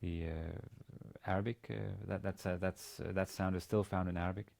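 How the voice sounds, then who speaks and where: A man in his forties with a Danish accent lectures as a language teacher. His voice sits very low and crackles with vocal fry. He explains haltingly, often doubling back on small words as he goes. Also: steady tone, darkish tone. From Denmark. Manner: halting, hesitant delivery with repeated words. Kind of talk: instructional lecture. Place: indoor studio with plain grey backdrop.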